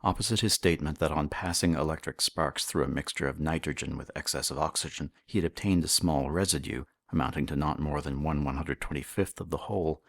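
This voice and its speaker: A man with a husky voice reads a historical science text as formal, measured audiobook narration. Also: extremely low pitch, no echo, clean studio sound. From Canada. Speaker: audiobook reader.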